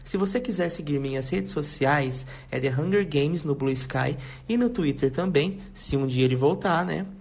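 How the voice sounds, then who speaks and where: A Brazilian man from Paraná introduces himself on a podcast with eager enthusiasm. His voice sits a little on the high side for a man. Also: normal speed, vocal fry, few pauses, a little mellow. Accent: Brazilian Portuguese. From Londrina, Paraná, Brazil. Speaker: podcast co-host.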